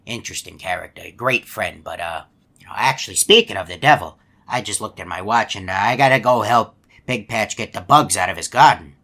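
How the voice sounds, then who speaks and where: Deep, bright-toned folksy animatronic storyteller. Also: male voice, full character voice. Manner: rambling, hesitant, mild. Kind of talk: anecdotal monologue in a video game.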